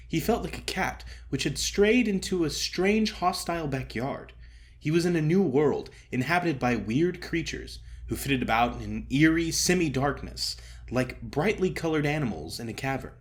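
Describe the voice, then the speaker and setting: A man performs a humorous story as a narrator. His delivery is theatrical and expressive, with dry wit and a pitch that moves widely from phrase to phrase. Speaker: narrator, actor. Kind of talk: dramatic comic story narration.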